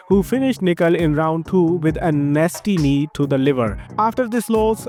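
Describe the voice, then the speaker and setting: Indian guy doing a weekly fight news roundup, high-ish voice, quick and upbeat, some music low underneath. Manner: brisk, energetic delivery with frequent filler transitions. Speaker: host of a combat sports news channel. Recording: faint music behind the voice.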